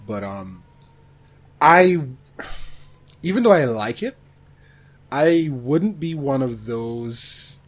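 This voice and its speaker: A man co-hosting a podcast, talking casually about films with animated asides and mock quotes. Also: mid-range voice, slightly rough. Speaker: podcast co-host. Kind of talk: casual film discussion.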